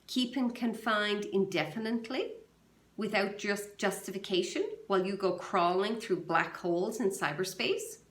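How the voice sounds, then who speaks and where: A woman's voice: mid-range voice, moderate pace, echoey room, distant mic, close to an everyday voice. A woman reading aloud, voicing a probing woman lawyer who presses with pointed, sarcastic questions.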